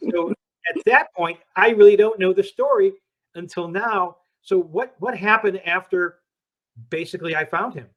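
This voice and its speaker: A man's voice: high-pitched, lo-fi sound. Upbeat man hosting an interview. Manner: upbeat, conversational questioning. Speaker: host, founder of a search service.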